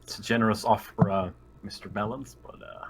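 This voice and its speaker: A man's voice: lowish voice, fairly even intonation, fairly quick, vocal fry, heavy background noise. A man chatting casually as a player in a tabletop game.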